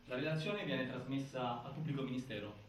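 An actor playing a grave narrator of a prison death inquiry, reciting findings with emphatic delivery and halting pauses in theatrical documentary style. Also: male voice, medium pitch, close to an everyday voice. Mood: grave, insistent. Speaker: stage actor narrating an investigation. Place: indoor stage, picked up from afar. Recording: distant, echoey, noisy theatre recording.

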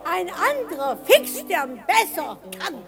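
Very high-voiced saucy ballad singer. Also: female voice, big pitch swings, takes it slowly, heavily acted. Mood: saucy, playful. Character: cheeky married woman singing a saucy street ballad. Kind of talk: theatrical singing in a radio play. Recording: 1960s radio play with music.

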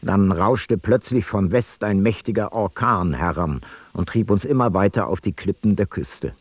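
A man playing an ancient Greek seafarer, reciting a ship's logbook in a solemn, measured way for a radio play. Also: fairly low pitch, husky, dry recording. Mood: grave, solemn.

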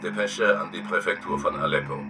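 An actor playing a cold, stern official reading out a telegram in clipped style in a radio drama. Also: male voice, deep voice, brisk, heavy background noise, close to an everyday voice. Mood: cold, commanding. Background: loud music.

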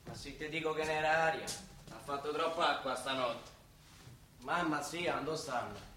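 A man's voice: fairly high pitch, normal speed, pushed and bright. An actor playing a grumpy, cocky young Roman working man in a dialect comedy, speaking sarcastically. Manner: gruff, sarcastic, colloquial. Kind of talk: naturalistic Italian dialect stage comedy acting. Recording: roomy distant stage sound, clear noise.

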